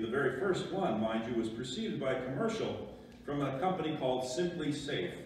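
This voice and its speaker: A man's voice: medium pitch, a little mellow. Echoey American male pastor preaching a sermon. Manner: measured, rhetorical questions, emphatic pauses. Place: church sanctuary. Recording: very echoey church, distant mic, background noise.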